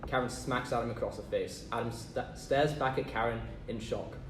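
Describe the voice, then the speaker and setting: A man's voice: mid-range voice, moderate pace. A man reading stage directions aloud from a script. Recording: echoey, distant room with noise.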